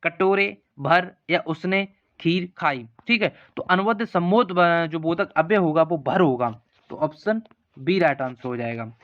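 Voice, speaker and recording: A man's voice: fairly high pitch, a little slow, a bit bright, lo-fi sound. A man teaching an exam-prep lesson, reading out questions and options in an instructional way and repeating the answers. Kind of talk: lesson walking through multiple-choice practice questions.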